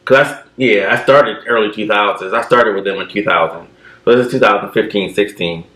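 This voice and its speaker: A man in his thirties telling stories in a relaxed, casual way, filling his talk with "you know". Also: lowish voice, a little fast, echoey room, poor audio. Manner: relaxed storytelling with many fillers. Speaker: co-host. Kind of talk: casual chat.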